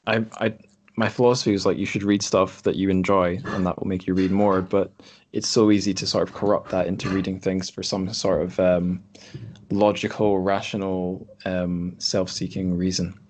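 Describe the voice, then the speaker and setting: A man with a low-pitched voice hosts a podcast conversation. He talks casually and reflectively, wandering through his thoughts as he goes. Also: flat delivery, moderate pace, a little mellow, slight background noise. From Scotland. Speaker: podcast host. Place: group online call.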